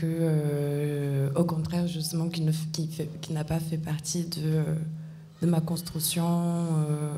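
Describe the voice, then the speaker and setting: Low, hesitant woman guest. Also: mellow, a little hiss or hum. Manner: hesitant, searching for words. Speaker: interview guest. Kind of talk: reflective, unscripted interview answer.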